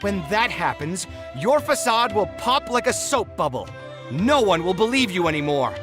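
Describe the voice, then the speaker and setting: A principled young architect in a video game, exasperated and earnest. His delivery is dramatic and highly animated, full of reproach. Soft music runs underneath. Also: normal speed. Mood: reproachful, appalled. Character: idealistic, indignant young male architect.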